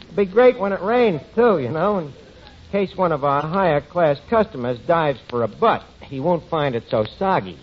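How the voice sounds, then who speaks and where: An actor playing a cocky, scheming tavern manager with self-important patter in a 1940s radio sitcom. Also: male voice, fairly high pitch, lively intonation, moderate pace, close to an everyday voice. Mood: cocky chatty. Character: tavern manager, scheming, boastful, dim wisecracking man. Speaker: comedy lead. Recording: muffled, noisy 1940s radio broadcast, studio audience.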